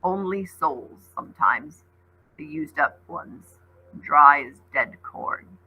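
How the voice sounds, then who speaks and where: A woman voicing a menacing giant wolf beast from a storybook, with a hoarse, breathy growl and slow, stealthy delivery. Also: low voice, lively intonation, highs cut off, pitched below the performer's own voice, heavily acted. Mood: brooding, hungry.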